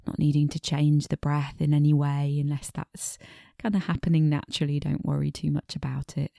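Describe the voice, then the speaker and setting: Low, creaky woman guiding meditation. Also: monotone, dry recording, studio quality. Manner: gentle, unhurried, pausing between short phrases. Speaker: meditation teacher. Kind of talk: guided body scan meditation.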